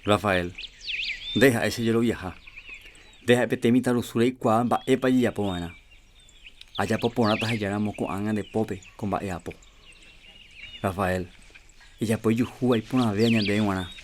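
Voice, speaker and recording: A man's voice: lowish voice, normal speed, long pauses, noisy background, lightly acted. A man with a Paraguayan accent playing a casual, skeptical football player in an educational radio drama. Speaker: Roberto, a football team player.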